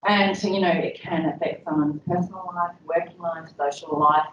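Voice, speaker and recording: A woman's voice: lowish voice, steady tone, moderate pace, far from the mic, background noise. A woman presenting an educational session to health staff, chatty and conversational with frequent ums and you-knows. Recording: dull, echoey room audio from afar.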